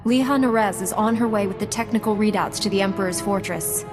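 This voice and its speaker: A woman's voice: mid-range voice, a little fast, music in the background, close to an everyday voice. Actress as a confident female Jedi Knight. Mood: composed. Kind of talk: video game voice acting.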